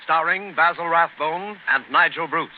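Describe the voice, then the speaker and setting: American man, vintage radio announcer, fairly high voice, scripted delivery, faintly noisy old broadcast recording. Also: moderate pace, narrow, tinny audio. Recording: noisy, band-limited 1930s radio broadcast.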